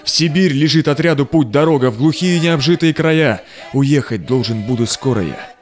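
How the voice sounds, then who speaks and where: A man's voice: a little high, normal speed, natural voice. A man playing a devoted young Cossack suitor in a theatrical audio drama, declaiming in verse. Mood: earnest.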